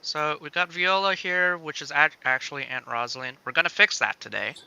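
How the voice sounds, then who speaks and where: A man's voice: a little high, a little fast, gravelly, sharp tone, clear, resonant tone, highs cut off. A man co-hosting, chatting casually and walking through a project without a script. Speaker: co-host presenting a game-making project. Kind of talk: casual unscripted chat and walkthrough.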